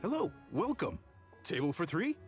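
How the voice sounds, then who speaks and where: A hearty, proud restaurant chef in a video game. His voice sits a little high and swings with theatrical enthusiasm. Faint music runs underneath. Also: wildly swooping pitch, moderate pace. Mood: welcoming. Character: proud, hospitable middle-aged restaurant chef, jovial salesman.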